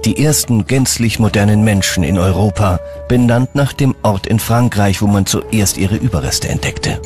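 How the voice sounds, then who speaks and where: Low-voiced male documentary narrator over music. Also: normal speed.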